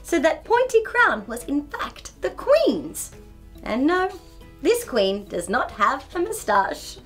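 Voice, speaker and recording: A woman's voice: high voice, big pitch swings, slow pace, a bit bright, soft background music. A woman in her thirties reads a picture book aloud to young children in a bubbly, exaggerated, giggly way as a storytime host. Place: small furnished indoor room.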